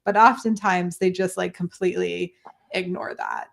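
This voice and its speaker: A woman's voice: on the low side, wildly swooping pitch, normal speed, gravelly. A woman co-hosting a podcast in casual, chatty banter, given to nerdy explaining. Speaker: podcast co-host.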